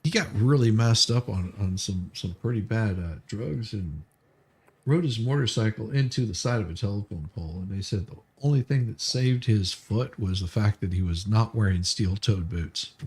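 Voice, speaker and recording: A man's voice: lowish voice, animated, normal speed, a little mellow, husky. A man streaming casual, jokey chat in a rambling way, often repeating his words as he goes. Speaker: live streamer.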